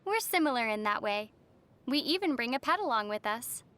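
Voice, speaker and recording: A woman voicing a young female traveler in a video game, calm and playfully teasing, with wry delivery. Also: a little high, brisk.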